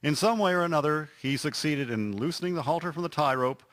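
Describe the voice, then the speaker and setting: A man's voice: fairly high pitch, quick pace, no echo. A man narrating a radio drama as a scripted storyteller. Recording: music prominent behind the voice. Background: loud music.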